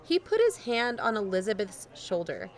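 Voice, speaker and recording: A woman's voice: medium pitch, sing-song, moderate pace, natural voice, rough recording. Woman acting as candid young woman, mid-range voice, wry, conversational, guarded, audio drama storyteller. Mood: wry, curious. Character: wary, candid, teasing young woman narrating undercover visit. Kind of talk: first-person audio drama narration and dialogue.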